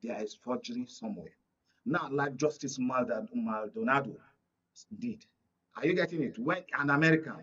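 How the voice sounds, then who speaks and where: A man's voice: mid-range voice, a bit bright, husky, dull audio. A Nigerian man speaking as an analyst on a political panel, emphatic and fond of rhetorical questions. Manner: emphatic, rhetorical questions with repeated check-ins. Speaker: studio guest, political analyst.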